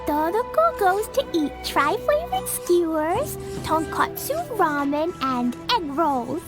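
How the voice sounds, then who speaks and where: A woman's voice: very high-pitched, very animated, takes it slowly, full character voice. A woman voicing a small, cheerful and innocent girl who chatters in a bubbly childlike way. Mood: chatty. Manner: bubbly, childlike, says "super duper" and "Mister". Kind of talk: game voice acting. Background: game music.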